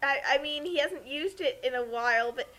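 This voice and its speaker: A woman's voice: high-pitched, a little fast, a bit bright, rough recording. A woman giving casual, joking commentary, playfully picking at the logic of what she hears. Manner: playfully nitpicking.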